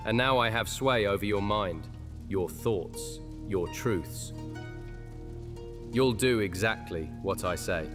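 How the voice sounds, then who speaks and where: A man playing a sly, smugly charming male witch in a horror audio drama, taunting and theatrical. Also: fairly low pitch. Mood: smug, commanding.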